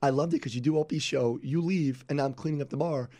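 A man hosting a podcast in casual chat. His voice is hoarse, and he talks in an easygoing, chatty way on a studio headset. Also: fairly high pitch, fast, warm tone. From Staten Island, New York. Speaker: podcast host. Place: radio studio.

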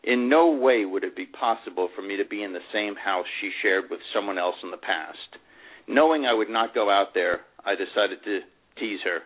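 A man playing a wary, teasing divorced man who tells his side of a romance in an animated memoir reading. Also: on the low side, normal speed, natural voice. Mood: wry, uneasy. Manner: animated storytelling with acted dialogue. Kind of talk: dramatized first-person memoir reading. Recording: muffled, narrow phone-like radio sound.

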